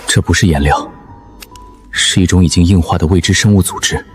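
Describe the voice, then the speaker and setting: Cool, analytical young male survivor played by a male actor, very low voice, curt delivery for an animated drama, music behind. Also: a little fast, natural voice. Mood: guarded, observant. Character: cool, calculating young male survivor, coldly observant leader. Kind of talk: animated web drama acting.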